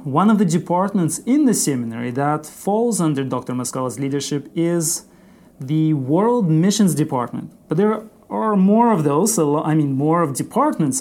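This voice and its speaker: Mellow-voiced male podcast interviewer. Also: a little high. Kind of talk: formal, scripted interview hosting.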